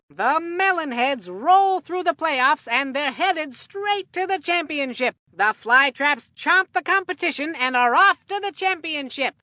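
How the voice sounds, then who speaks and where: A woman's voice: high-pitched, pushed and bright, dry recording, exaggerated voice. A woman playing a peppy cartoon baseball play-by-play commentator in a video game, with a punchy announcer's delivery. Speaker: sports commentator. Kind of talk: scripted sports game commentary.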